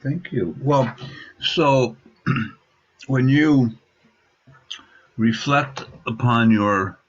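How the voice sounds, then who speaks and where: A man's voice: mid-range voice, extremely slow, slightly rough. A man hosting an interview over a call, speaking hesitantly with many "uh" fillers. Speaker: interview host. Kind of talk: conversational interview. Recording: muffled lo-fi audio.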